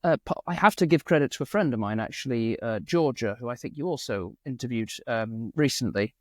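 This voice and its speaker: Guest spot: man, mid-pitched, self-mocking and jokey, dry close sound. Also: animated, fairly quick, no room sound. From England, near Manchester. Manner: self-deprecating, jokey, with fillers and restarts. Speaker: guest, English teacher. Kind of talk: casual conversation.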